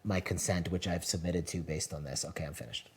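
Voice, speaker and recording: A man's voice: low-pitched, brisk. Earnest man getting fired up. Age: late 20s. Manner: earnest, gets fired up, many restarts. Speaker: reality show contestant. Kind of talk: casual group chat.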